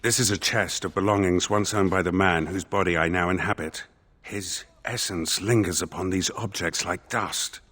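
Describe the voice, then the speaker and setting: An actor playing a solemn spirit in a dead man's body in a video game, formal and contemplative, with a husky, hollow, reverberant voice. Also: low voice, steady tone, normal speed, a bit bright, faint music behind. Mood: solemn wonder. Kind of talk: video game character acting. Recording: long reverb on the voice.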